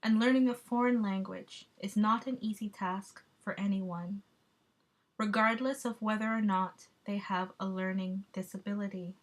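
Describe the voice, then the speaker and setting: A woman giving a measured, explanatory class presentation, with the odd filler. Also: mid-range voice, fairly even intonation. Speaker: student presenter.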